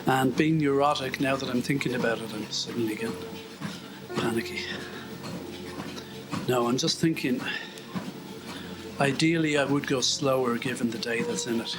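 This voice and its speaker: A man's voice: mid-range voice, unhurried, long pauses, poor audio. Chatty man, out of breath on a treadmill. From Ireland. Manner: rambling, self-mocking, chatty. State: slightly out of breath from walking. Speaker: vlogger. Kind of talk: casual monologue while walking on a treadmill. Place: indoors at home, on a treadmill.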